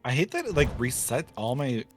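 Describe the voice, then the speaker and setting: A man's voice: mid-range voice, big pitch swings, brisk, soft background music. A man talking casually with friends during a game stream. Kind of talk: casual group gaming chat.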